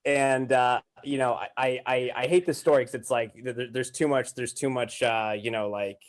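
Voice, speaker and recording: A man with a medium-pitched voice chats as a podcast guest. He tells anecdotes in a loose, conversational way, poking fun at himself with wry overstatement. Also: fairly even intonation, normal speed. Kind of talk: casual conversational storytelling.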